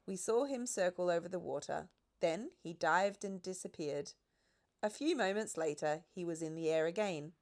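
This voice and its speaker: A woman narrating a scripted children's audiobook story. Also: lowish voice. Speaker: audiobook reader.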